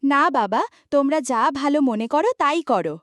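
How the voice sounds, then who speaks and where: A woman's voice: high voice, expressive, brisk, dry recording. A woman voicing an affectionate, chatty young village wife in a cartoon folk tale. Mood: meek, obedient. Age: young adult.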